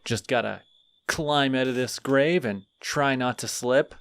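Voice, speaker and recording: A man doing a chatty, slow-talking skeleton. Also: mid-range voice, creaky, smooth. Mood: grumbling. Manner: rambling, sheepish, talks to himself. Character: friendly, chatty male skeleton digging up graves. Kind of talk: audio roleplay acting.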